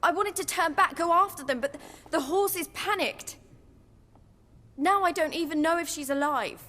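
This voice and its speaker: An actress playing a bitter young noblewoman who talks in a cold, sharp, sarcastic way. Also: extremely high pitch, normal speed. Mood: shaken. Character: bitter young noblewoman, estranged daughter, zealous. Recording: long hall reverb.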